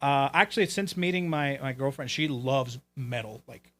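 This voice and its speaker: A man's voice: mid-range voice, normal speed, fairly bright tone. A man from Puerto Rico chatting casually as a talk show host, with loose, profane phrasing. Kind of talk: casual chat.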